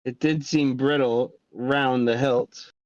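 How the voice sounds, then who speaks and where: A man's voice: mid-range voice, steady tone, takes it slowly, darkish tone, no room sound, highs cut off, lightly acted. A man playing a courtly, florid town crier turned henchman in a script read, speaking formally. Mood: grave, florid. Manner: formal, poetic diction. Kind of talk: amateur script table read.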